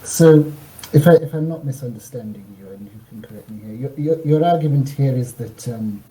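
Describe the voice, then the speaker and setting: A man with a very dark, soft-edged voice hosts a debate in a conversational way. He stumbles and repeats words as he goes, with some noise in the recording. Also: medium pitch, a little fast. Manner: hesitant, stammering with repeated words. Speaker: debate host. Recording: noisy room sound.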